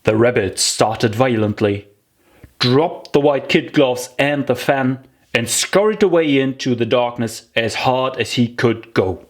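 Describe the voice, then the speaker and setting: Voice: medium pitch, unhurried. An audiobook narrator reading a scripted children's story.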